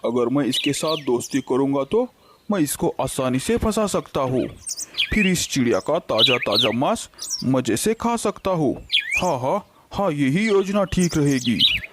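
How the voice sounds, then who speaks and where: A man's voice: fairly high pitch, sing-song, a little slow, very noisy, pitched below the performer's own voice. A man voicing a cunning male eagle in a children's cartoon story, wheedling and scheming under false friendliness.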